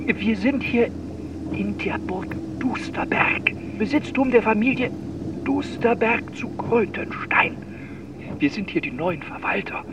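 A noisy, distant answering machine recording of a flustered caretaker. He is timid and stammers, repeating himself in a high voice, as in a children's radio play. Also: lively intonation, a little slow, highs cut off. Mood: anxious, halting.

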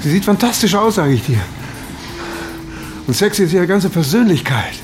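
An actor playing a flustered, defensive 59-year-old editor, stammering and testy in naturalistic radio drama dialogue. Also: male voice, sits high, animated, normal speed, music in the background. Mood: earnest, smitten.

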